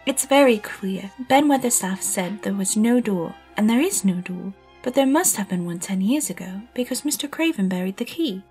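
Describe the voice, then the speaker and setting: A woman's voice: medium pitch, animated, moderate pace, hardly any pauses, a little mellow, faint music behind, natural voice. An actress playing a sour, curious young girl who narrates her own story, earnest and full of questions. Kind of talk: audio drama narration and dialogue.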